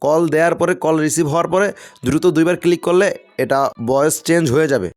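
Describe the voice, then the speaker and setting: A man delivers a promotional product demo at a very rapid clip. His voice sits slightly high, and his patter is emphatic and colloquial, like a gadget seller making a pitch.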